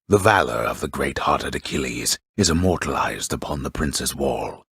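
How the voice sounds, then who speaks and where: A man voicing a solemn, wry video game storyteller, with gravelly, breathy husk and theatrical, literary phrasing. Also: very deep voice, sharp tone, no room sound, clean studio sound, exaggerated voice. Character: solemn old-fashioned omniscient storyteller with wry gravity. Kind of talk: scripted dramatic storytelling narration.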